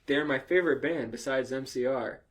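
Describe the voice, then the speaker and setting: A woman's voice: rumbling low, fairly quick, heavy background noise. A woman voicing a gushing, melodramatic teenage goth girl in a mocking fanfiction reading. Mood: shrieking excitement.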